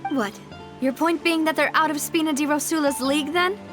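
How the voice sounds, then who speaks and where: A woman's voice: high voice, fairly quick, music in the background, natural voice. A woman playing a proud, determined young noblewoman in a video game, with pointed, polished, theatrical phrasing. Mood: probing.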